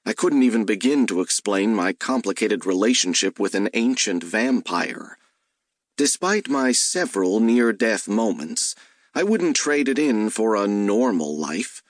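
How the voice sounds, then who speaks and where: A man narrating a first-person story as an audiobook, in a measured, wry way. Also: fairly low pitch, very animated, no echo, studio quality.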